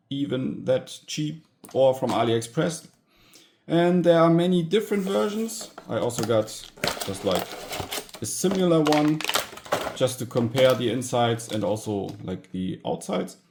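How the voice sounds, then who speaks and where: A man casually narrating a hands-on gadget teardown as a video host, informal and halting, often pausing mid-sentence. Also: mid-range voice, creaky, a little mellow. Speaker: tech hobbyist video host.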